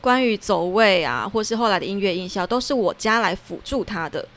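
A woman's voice: mid-range voice, lively intonation, fairly quick, a bit bright. A woman with a Taiwanese Mandarin accent talking reflectively as a guest in a casual podcast conversation. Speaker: guest, theatre playwright and performer.

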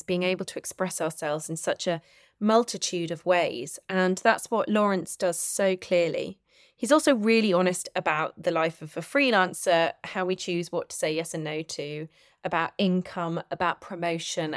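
A woman's voice: lowish voice, dry recording, clean studio sound. A woman hosting a podcast, speaking in an effusive, enthusiastic way as she introduces and interviews. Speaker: podcast host.

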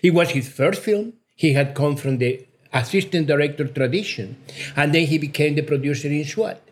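Effusive older man, slightly high voice. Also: normal speed. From Mexico. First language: Spanish. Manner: effusive, emphatic, repeats words. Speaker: cinematographer, interview guest. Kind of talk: conversational interview answers.

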